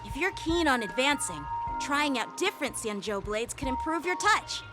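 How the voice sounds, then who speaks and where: Teenage boy sword master for anime game: high, courteous, self-assured, music behind. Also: big pitch swings, normal speed. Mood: encouraging.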